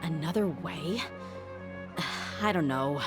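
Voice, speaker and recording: A woman's voice: low voice, expressive, slow pace, music in the background. An actress playing a blunt, guarded young mercenary woman in a dramatic video game scene. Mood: bitter, frustrated. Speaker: video game character voice actor.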